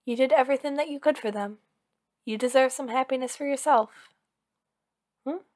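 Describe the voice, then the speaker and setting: A woman's voice: sits high, moderate pace, darkish tone, close to an everyday voice. A woman playing an anxious, possessive villain lover in an intimate ASMR roleplay, speaking in an earnest, pleading, insistent way. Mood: soft, reassuring. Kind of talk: ASMR roleplay acting, one-sided dialogue.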